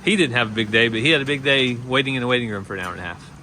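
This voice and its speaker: A man's voice: medium pitch, rapid-fire, pushed and bright. A man chatting casually as a farm vlogger, with a plain-spoken, folksy way of talking. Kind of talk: casual vlog chat. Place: outdoors on a farm. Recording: noisy handheld outdoor farm recording.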